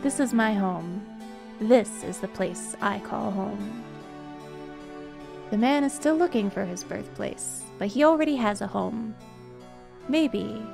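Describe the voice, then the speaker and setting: A woman's voice: medium pitch, gravelly. A woman voicing a reflective, wistful storyteller in a video game.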